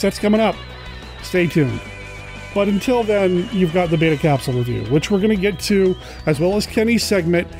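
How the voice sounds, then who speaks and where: A male podcast host with a fairly high voice chats informally and with excitement. He addresses listeners directly while soft music plays underneath. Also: rough recording. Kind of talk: casual enthusiastic chat to listeners.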